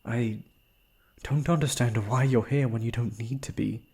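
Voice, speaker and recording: A man's voice: mid-range voice, steady tone, moderate pace, darkish tone, slightly rough. A man acting a shy, proud, wistful noble prince in a romantic fantasy roleplay, with hesitant, formal, sighing speech. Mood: wry, probing.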